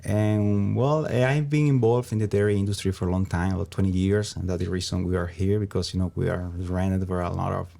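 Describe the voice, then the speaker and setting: A man from Venezuela with a Venezuelan Spanish accent, answering interview questions in a halting way as he searches for words. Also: low voice, flat delivery, gravelly, mellow. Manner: halting, searching for words, frequent "you know". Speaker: interview guest, small business founder.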